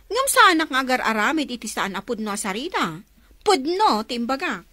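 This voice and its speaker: A woman's voice: high voice, very animated, fairly quick, bright, forceful tone, no room sound, heavily acted. A woman playing a defensive, easily flustered gossip in a radio drama, with a Filipino Ilocano accent. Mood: indignant, flustered.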